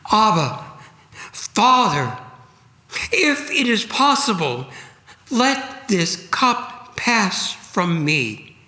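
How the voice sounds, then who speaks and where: A man acts out a sorrowful holy man at prayer in a solemn sacred story. The voice is fairly high and intense, pleading very slowly in halting, drawn-out phrases. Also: sing-song, bright, forceful tone, exaggerated voice. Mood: anguished pleading.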